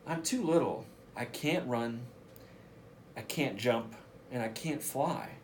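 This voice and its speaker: A man's voice: mid-range voice, slow, a little hiss or hum. A man voicing a small, earnest young female reindeer in a storybook, sounding plaintive. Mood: discouraged.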